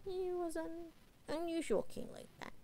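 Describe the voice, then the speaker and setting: A woman voicing a cute, playful young catgirl, telling a bedtime story in a halting, chatty, informal way. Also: very high voice, level tone, warm tone, pitched above the performer's own voice. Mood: storytelling.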